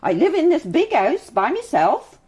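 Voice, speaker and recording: An aggrieved British woman with a highish voice that swoops dramatically. She is fussy, persistent and nagging, in radio drama style. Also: wildly swooping pitch, normal speed, darkish tone. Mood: insistent. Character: indignant, nagging female British householder. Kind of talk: radio crime drama acting.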